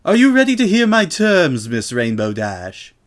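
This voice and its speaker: Guy doing a snooty unicorn lady, raised pitch, smug n pompous, storybook style. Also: huge pitch swings, moderate pace. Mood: smug, accusing. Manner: pompous, emphatic. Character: smug, haughty female unicorn, theatrical accuser. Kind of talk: dramatic reading of a fan story.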